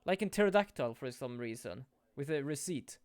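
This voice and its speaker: A man streaming a game playthrough, animated, swearing and laughing at himself as he reacts. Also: medium pitch, normal speed, dry recording.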